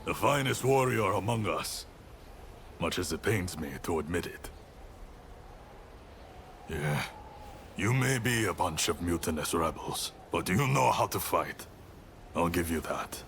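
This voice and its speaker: An actor playing a boisterous, blunt samurai warrior with a hearty, swaggering delivery in a video game. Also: male voice, deep voice, lively intonation, unhurried, long pauses, a bit bright, a little hiss or hum, exaggerated voice. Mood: grudging respect. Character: boisterous, grudgingly respectful samurai warrior, fond of drink. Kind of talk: dramatic video game dialogue.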